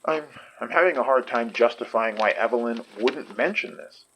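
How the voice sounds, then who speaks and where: A man acting in an audio horror drama as a blunt, level-headed, sharp-minded investigator. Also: mid-range voice, moderate pace, slight background noise, lightly acted, low-quality recording. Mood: perplexed. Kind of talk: audio fiction podcast acting.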